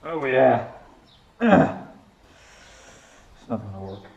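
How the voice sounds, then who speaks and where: Spanish-accented man, garage vlogger, slow halting delivery, mid-pitched. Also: lively intonation, highs cut off, slight background noise. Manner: halting, searching for English words with many fillers. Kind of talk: casual workshop talk, switching between English and Spanish. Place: home garage workshop.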